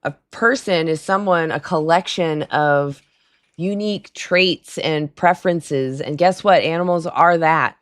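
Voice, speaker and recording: A woman with a low, raspy, hoarse voice hosts a live call-in stream. She speaks in a loose, chatty way, laughs between points and answers her chat as she goes. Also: a little slow. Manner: chatty, laughs often, talks back to chat. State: hoarse throat. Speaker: livestream call-in host. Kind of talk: casual livestream chat with callers.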